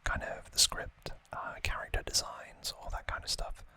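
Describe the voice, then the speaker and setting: A man hosting an ASMR video, whispering throughout as he explains things off the cuff, with thoughtful, hesitant pauses. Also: pushed and bright, no echo. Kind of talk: whispered ASMR, unscripted explanatory unboxing talk.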